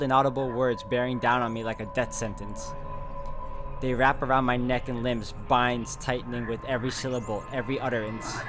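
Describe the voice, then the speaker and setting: A man's voice: medium pitch, lightly acted. A man playing a brooding, uneasy protagonist, narrating a visual novel in a dramatic, introspective first-person style. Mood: ominous, dread.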